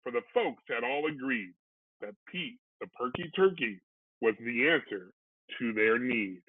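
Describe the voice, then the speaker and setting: A man reading a children's storybook aloud in an expressive narrating style. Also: medium pitch, measured pace, breathy edge, no room sound. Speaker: storybook reader. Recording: narrow phone-like sound, dry.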